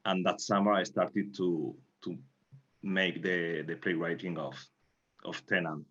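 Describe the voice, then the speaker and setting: A very deep male voice with a native Spanish speaker's accent, heard through dull, lo-fi audio. He is a playwright answering interview questions in careful, imperfect English. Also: fairly even intonation, unhurried, a bit bright. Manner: non-fluent English, careful phrasing. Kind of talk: recounting in interview.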